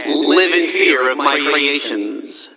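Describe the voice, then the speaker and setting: Game villain: egomaniac male riddle-master, highish and swooping, pompous and mocking, roomy echo. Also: animated, slow pace, recorded from a distance, highs cut off, crowd noise. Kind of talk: video game villain voice acting.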